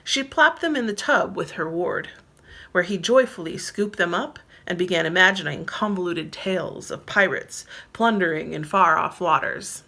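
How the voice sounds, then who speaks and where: A woman's voice: fairly low pitch, normal speed, creaky, bright, forceful tone. A woman reading her own novel aloud as narrator, in scripted storybook style.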